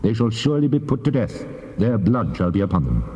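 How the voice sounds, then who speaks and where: An actor playing God as a solemn, commanding lawgiver in a dramatized scripture reading, speaking in formal archaic phrasing. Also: male voice, on the low side, sing-song, brisk, warm tone. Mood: stern, grave. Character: God giving stern laws, solemn male deity. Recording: echoing long-reverb hall sound.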